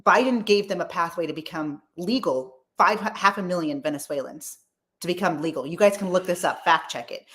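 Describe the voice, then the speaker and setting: A woman's voice: lowish voice, brisk, fairly bright tone. A woman in her thirties from California, talking politics in a rambling, emphatic way with many restarts. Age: 30s. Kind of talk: casual political chat.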